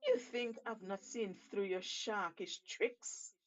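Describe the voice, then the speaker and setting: A woman's voice: medium pitch, takes it slowly, muffled recording, lightly acted. A woman playing a crafty, cheeky male monkey in a told story, with an emphatic, theatrical and taunting delivery. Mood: mocking, defiant.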